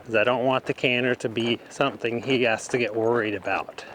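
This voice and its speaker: A man's voice: mid-range voice, fairly even intonation, fairly quick, a bit bright, poor audio. A man who trains horses, giving instructional commentary in short, broken phrases as he rides outdoors. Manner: talking in short, broken phrases while riding. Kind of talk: instructional commentary while riding. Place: outdoors in an open riding arena. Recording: faint outdoor noise.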